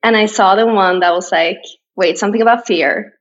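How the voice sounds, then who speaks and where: A woman's voice: mid-range voice, brisk, lo-fi sound. A woman in her twenties from Sweden, speaking English with a Swedish accent as a podcast guest, bubbly and giggly, chatting casually from home. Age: 20s. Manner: bubbly, giggly, loose and informal. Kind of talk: casual chat. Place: home, over a video call.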